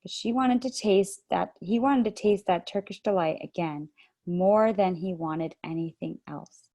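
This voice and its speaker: A woman hosting a children's read-aloud over a video call, narrating a book with steady, gentle storytelling. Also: fairly low pitch, measured pace.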